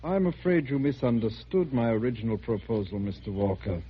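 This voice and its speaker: An actor playing a cold, courteous alien emissary with menacing calm in a 1950s radio drama. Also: male voice, medium pitch, brisk, husky, close to an everyday voice. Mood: coolly polite. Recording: muffled, noisy 1950s radio recording.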